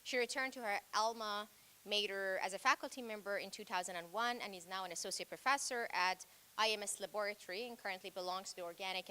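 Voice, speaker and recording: A woman's voice: mid-range voice, sharp tone, a little hiss or hum. A woman hosting a lecture, politely introducing the guest speaker with some fillers. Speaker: lecture host.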